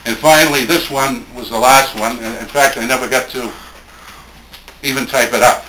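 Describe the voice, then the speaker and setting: A man speaking at a live poetry tribute in a plainspoken, measured way, between informal introduction and reading. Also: mid-range voice, steady tone, pushed and bright. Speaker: speaker reading a late friend's poems at a tribute. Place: indoor room at a live poetry event. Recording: roomy, echoing event recording with faint noise.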